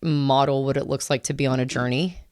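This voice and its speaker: A woman's voice: rumbling low, fairly even intonation, a little fast, darkish tone, clear, resonant tone, no echo. Thoughtful woman podcast co-host, dry sound. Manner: reflective, searching for words with many fillers. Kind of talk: casual conversation.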